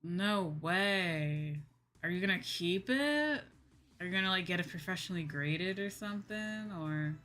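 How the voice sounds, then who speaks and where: A woman's voice: fairly low pitch, animated, measured pace, fairly bright tone. A young woman livestreamer chatting casually in a slangy, exclamatory way, like a VTuber talking to her viewers. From United States. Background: background music.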